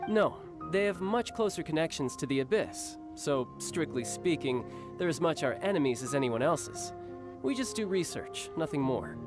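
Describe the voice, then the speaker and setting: A man playing a confident, protective young older-brother warrior in a video game, smooth and theatrical. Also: a little high, animated, normal speed, over music, natural voice. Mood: assured, earnest.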